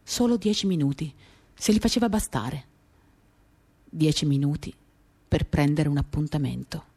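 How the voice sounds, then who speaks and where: A woman giving a dramatic, confiding first-person reading of literary prose, measured and intimate, in short reflective phrases. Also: low voice, expressive, warm tone, husky. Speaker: reader of a novel for radio theatre. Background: acoustic guitar music.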